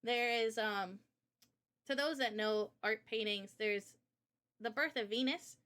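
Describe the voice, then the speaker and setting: A woman's voice: medium pitch, pushed and bright. A woman co-hosting a live Q&A in casual chat, with an informal, rambling way of telling stories. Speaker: co-host of a live Q&A.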